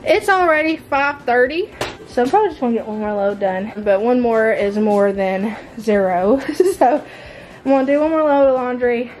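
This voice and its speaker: A woman's voice: on the high side, moderate pace, a little mellow, rough recording. A woman, chatting casually and ramblingly to camera indoors at home. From United States. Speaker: homemaking vlogger. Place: indoors, open-plan living room and kitchen of a mobile home. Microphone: handheld camera at arm's length.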